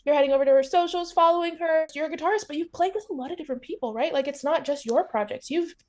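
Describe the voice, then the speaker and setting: A woman's voice: high-pitched, very fast, darkish tone. A woman hosting a casual interview, talking in an enthusiastic, informal and gushing way. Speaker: interviewer, host.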